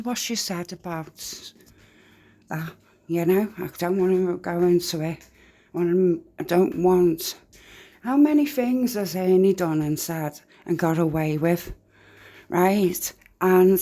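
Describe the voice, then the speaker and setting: A woman's voice: medium pitch, darkish tone, slight background noise. A woman from near Liverpool with a light Liverpool accent, giving rambling, candid true crime commentary. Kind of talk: casual commentary.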